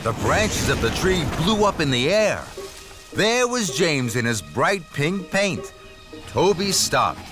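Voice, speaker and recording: A man narrating a scripted children's story in an expressive storytelling manner. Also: on the high side, slow pace. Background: music.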